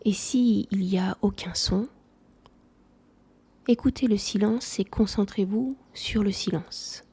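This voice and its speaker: Calm lady doing guided meditation, mellow breathy voice, soft and soothing. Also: mid-range voice, slow. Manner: calm, soothing, softly spoken. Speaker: yoga nidra instructor.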